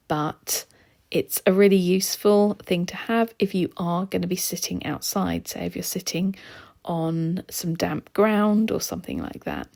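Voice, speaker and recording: Slightly hoarse, chatty woman. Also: mid-range voice. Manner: chatty, relaxed, with soft laughs and ums. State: slightly hoarse, losing her voice. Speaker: art vlogger. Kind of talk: casual voice-over narration.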